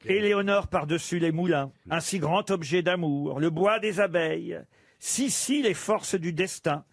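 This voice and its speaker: A man's voice: sits high, unhurried, dry recording, studio quality. A man hosting a radio panel quiz, playfully teasing the panelists and reading out quotations. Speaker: quiz show host.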